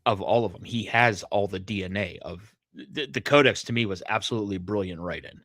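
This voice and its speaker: A man's voice: on the low side, a little fast, fairly bright tone, no echo, studio quality. A man co-hosting a podcast, giving blunt, emphatic opinions in casual conversation. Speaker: podcast co-host.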